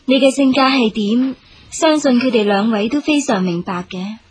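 A woman acting a gentle, dutiful commander's wife in a period radio drama, speaking considerately in Hong Kong Cantonese. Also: fairly high pitch, moderate pace, breathy edge. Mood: gentle, supportive.

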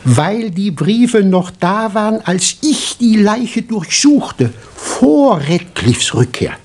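A man playing a self-assured doctor turned amateur detective in a 1960s German radio crime play, explaining insistently and dramatically in a husky voice. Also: sits high, big pitch swings, slow, darkish tone, full character voice. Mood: dramatic, explaining.

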